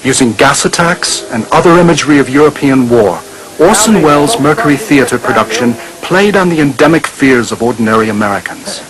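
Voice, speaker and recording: A man's voice: mid-range voice, expressive, brisk, poor audio. A man reading scripted narration for a documentary.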